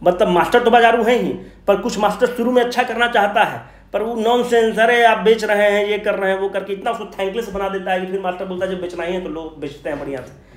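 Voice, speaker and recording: Man, high voice, informal lecturer, rhetorical questions, colloquial, echoey room. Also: fast. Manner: asks questions and answers them himself, colloquial. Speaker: teacher giving an exam revision lecture. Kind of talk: informal Hindi-English classroom lecture.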